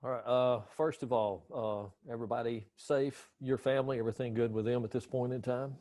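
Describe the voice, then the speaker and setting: A man hosts a casual interview in a folksy, chatty way and teases his guest with easy jokes. His voice sits in a middle pitch. The sound is dry and close. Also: fairly even intonation, brisk, mellow, dry recording. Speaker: interview host.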